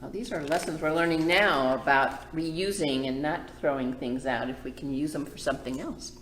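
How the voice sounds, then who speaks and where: A woman reading a picture book aloud to children as a library storyteller, expressive and emphatic, with chatty asides. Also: sits low, normal speed, reverberant.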